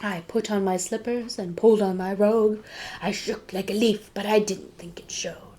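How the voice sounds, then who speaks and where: A woman with a mid-pitched voice reads a picture book aloud for children. Her delivery is expressive and dramatic, and she lowers to a hush when the tale gets suspenseful. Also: moderate pace, a little hiss or hum. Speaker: children's librarian reading a storybook.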